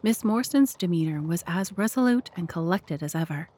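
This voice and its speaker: A woman's voice: on the low side, lively intonation, moderate pace, dark tone. A woman narrator and host reading scripted narration for an audio drama.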